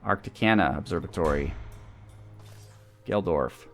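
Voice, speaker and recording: A man's voice: deep voice, a little slow, fairly bright tone. A man hosting a video game playthrough, talking casually and thinking aloud as he weighs his options. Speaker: host of a gaming series. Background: background music.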